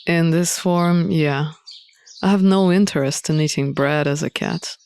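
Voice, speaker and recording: A woman voicing a dry, superior male talking cat in a story game, terse and deadpan. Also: low-pitched, measured pace, creaky, voice pushed down.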